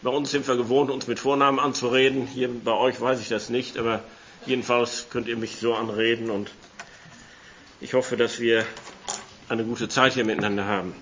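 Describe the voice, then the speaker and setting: A hoarse man with a cold preaching a sermon in a church hall, chatting with the congregation and reading scripture aloud. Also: mid-range voice, little pitch movement, moderate pace, fairly bright tone, dull audio, a little hiss or hum. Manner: conversational, reads scripture aloud.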